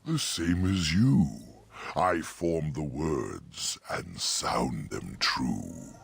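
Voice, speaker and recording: An actor playing an ancient, courteous talking oak in a fantasy game, speaking archaic rhymed verse in a grandiose, gravelly voice. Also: male voice, extremely low pitch, expressive, drawn-out words, fairly bright tone, slight background noise, exaggerated voice. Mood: curious, stately.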